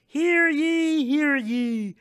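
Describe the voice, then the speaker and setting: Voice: sits high, extremely slow, fairly bright tone, full character voice. An actor playing a childish, whimsical, bossy king in a comic audio sketch, flippant and slangy. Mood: pompous proclaiming. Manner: flippant, slangy royal proclamations.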